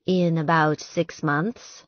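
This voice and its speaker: Woman as athletic boyfriend, lowish voice, unhurried, gently teasing, restrained, audiobook dialogue. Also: fairly even intonation, no echo, dull audio. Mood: calm. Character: affectionate, teasing athletic man, reserved boyfriend.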